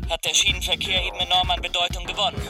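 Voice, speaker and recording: A man's voice: high-pitched, level tone, very fast, far from the mic, over music, lightly acted. An actor playing a wordy, matter-of-fact man explaining things in a radio drama interview.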